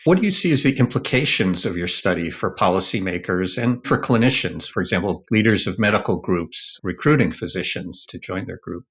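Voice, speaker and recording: A man's voice: sits low, lively intonation, a little fast, hardly any pauses. A man hosting an expert podcast, putting prepared questions to a guest in a formal, courteous way. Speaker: podcast host, journal editor.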